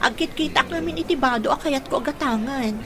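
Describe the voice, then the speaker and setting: A woman's voice: high voice, fast talker, close to an everyday voice. A woman playing a fussy, possessive, materialistic young girlfriend in a radio drama, with a Filipino accent. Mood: fussy, impatient.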